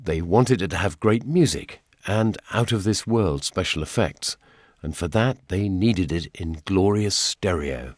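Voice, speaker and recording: Deep-voiced British man, wry radio narrator. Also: expressive, measured pace, dry recording. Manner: wry, dry humour. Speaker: documentary presenter. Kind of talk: scripted radio documentary narration.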